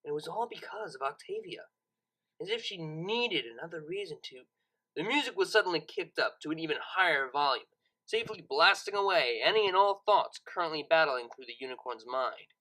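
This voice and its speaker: A narrator reading a scripted story audiobook in an expressive, dramatic storytelling manner. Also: mid-range voice, a little fast, rough recording.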